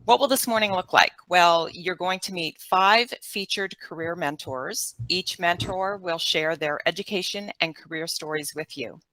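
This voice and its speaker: A woman's voice: lowish voice, unhurried, few pauses, sharp tone, no echo, poor audio. A woman opening an event as education lead with an enthusiastic, upbeat scripted welcome.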